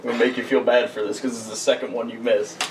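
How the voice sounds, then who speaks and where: A man's voice: mid-range voice, little pitch movement, racing. A young man chatting casually with friends on a podcast, needling and sarcastic. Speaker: podcast regular. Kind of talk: casual chat among friends. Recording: distant mic, faint noise.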